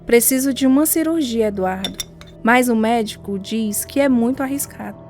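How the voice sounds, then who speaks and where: A woman's voice: on the high side, moderate pace, a little mellow, faint music behind, natural voice. An actress playing a devout, caring wife and mother in a radio drama. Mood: grave, devout.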